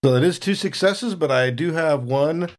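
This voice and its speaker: A man's voice: fairly high pitch, normal speed. A man chatting casually as a player in a tabletop role-playing game, describing his moves.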